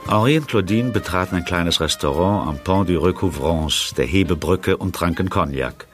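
An actor as storyteller, narrating a scripted 1980s horror audio drama. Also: male voice, low voice, moderate pace, music in the background, lightly acted. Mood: measured.

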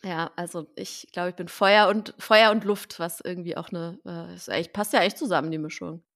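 A woman hosting a casual chat podcast, lively, laughing often and using many fillers. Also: on the low side, a little fast. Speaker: podcast host.

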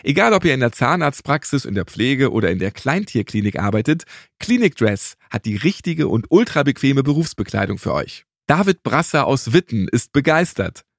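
A man's voice: medium pitch, sing-song, normal speed, gravelly, few pauses, no room sound, clean studio sound. A man speaking as a podcast host in German-style interview and presenting delivery. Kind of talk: podcast interview and scripted ad read.

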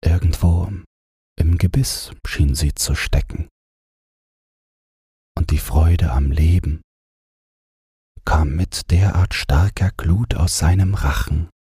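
Male narrator, literary audiobook. Low, gravelly, measured, calm. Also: no room sound, clean studio sound.

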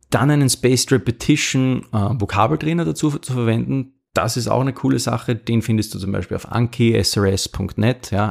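A man talks straight to his listener in a relaxed, coaching way, often stopping to restart a thought. His voice sits low and carries a gravelly edge. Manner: informal, many fillers and restarts, coaching tone. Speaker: podcast host. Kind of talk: casual podcast monologue with sponsor read.

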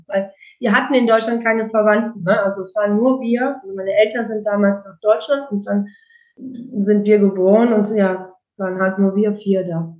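A woman's voice: medium pitch, roomy sound, lo-fi sound. A woman raised bilingual in Germany, a guest chatting casually in an interview, full of fillers and restarts. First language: Italian.

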